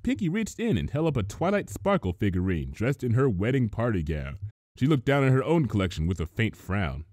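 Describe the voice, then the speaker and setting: A man narrating a scripted story as an expressive reader. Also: fairly low pitch, a little fast, no room sound, clean studio sound. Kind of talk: scripted narration, expressive fan fiction reading.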